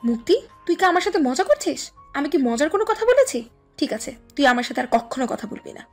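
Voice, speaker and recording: A woman plays a meddling, teasing little sister with a high voice, quick to take offence. It is an audio love story with faint music underneath. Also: very animated. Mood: indignant, sulky.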